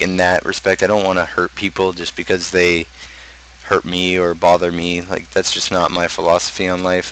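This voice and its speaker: A man talking casually as a streamer, explaining his own lyrics in a halting way, often restarting his phrases. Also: low voice, a bit bright, a little hiss or hum, low-quality recording. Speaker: streamer and rapper.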